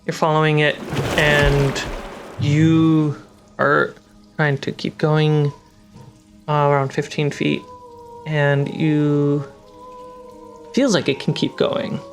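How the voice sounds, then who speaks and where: Game master for a fantasy tabletop session; slow, halting descriptive delivery, music and rain underneath. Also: mid-range voice, level tone. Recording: music and rain sounds behind. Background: music, rain effects.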